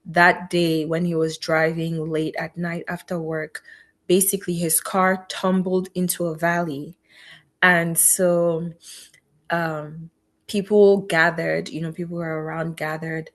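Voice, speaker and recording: Low-voiced woman telling her story. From Cameroon. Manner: earnest, faith-filled storytelling. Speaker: guest, physician and founder. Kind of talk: personal story in an interview.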